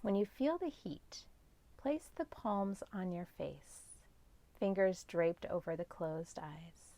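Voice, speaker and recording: Woman leading guided relaxation, really slow w long pauses, bit of gravelly fry, gentle instructions. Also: lowish voice, big pitch swings. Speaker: yoga nidra guide. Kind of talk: guided meditation.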